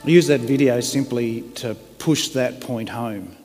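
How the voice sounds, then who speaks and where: A man preaching in an earnest, plain-spoken way at a middle pitch. His voice rings out with a long reverb, as if he stands in a large church hall. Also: measured pace, a little mellow, faint music behind. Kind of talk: sermon.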